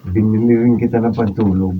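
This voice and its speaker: A man's voice: lowish voice, fairly even intonation, brisk, husky. A man acting an indulgent, coaxing married philanderer in a radio drama. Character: lecherous married man coaxing a girl with gifts. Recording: distant muffled mics, noisy raw studio video.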